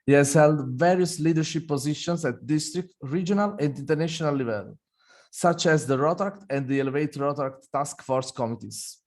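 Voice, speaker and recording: A man's voice: a little high, a little fast. A man hosting an online meeting, reading a formal welcome speech with a few restarts. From Italy. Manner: reads prepared remarks, occasional restarts. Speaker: event host.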